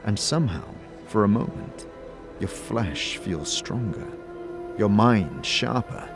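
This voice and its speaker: A man with a low voice narrates a fantasy audio story in the second person. His delivery is measured and deliberate, with emphatic phrasing. Music plays prominently behind him. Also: animated. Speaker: narrator and game master of an audio series.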